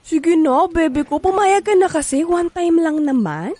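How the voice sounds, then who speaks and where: A woman's voice: very high-pitched, lively intonation, measured pace, dark tone, no room sound. An actress with a Filipino accent playing a pushy, flirty woman in her thirties in a radio comedy drama, coaxing and playful.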